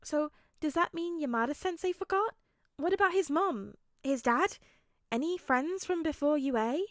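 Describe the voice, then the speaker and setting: A woman's voice: high-pitched, animated, normal speed, a bit bright, no echo, clean studio sound, pitched above the performer's own voice. A woman voicing an indignant young friend in a message chat, emphatic and full of questions. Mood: indignant, puzzled.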